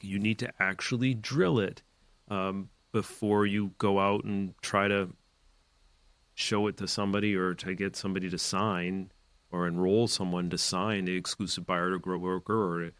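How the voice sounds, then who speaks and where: A man's voice: sits low, fairly bright tone, dry recording. A man hosting a real estate podcast, giving emphatic, opinionated advice in a rambling, casual way with side notes. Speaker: real estate team leader and podcast host.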